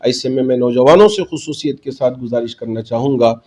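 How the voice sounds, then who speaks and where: Male cleric, dark soft-edged tone, measured formal delivery, public appeal. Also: medium pitch. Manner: measured, formal, Arabic-laced religious Urdu phrasing. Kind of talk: spoken public statement to community.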